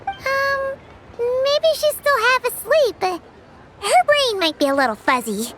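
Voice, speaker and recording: A woman voicing a small, chirpy, excitable fairy companion with a squeaky childlike voice in a video game, with music behind. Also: measured pace, heavy background noise, exaggerated voice. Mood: concerned. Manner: excitable, refers to self in third person. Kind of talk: video game cutscene dub acting.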